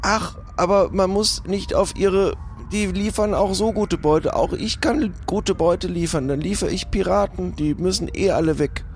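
Shifty young kitchen intern male actor, high voice, evasive and bitter, improvised audio drama. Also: unhurried, few pauses, slight background noise. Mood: resentful. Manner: stammering, evasive. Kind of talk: improvised audio adventure acting.

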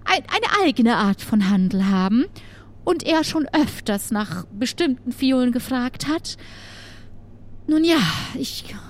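Woman playing a troubled, high-voiced witch. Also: animated. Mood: secretive, worried. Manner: halting, earnest, pleading. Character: troubled female witch, secretive trader in blood vials. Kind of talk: improvised audio adventure roleplay.

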